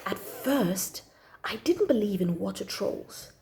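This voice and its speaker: A woman's voice: mid-range voice, lively intonation, slow, vocal fry, a little mellow, in a whisper. A woman reading a children's story aloud expressively, drawing out key words for emphasis.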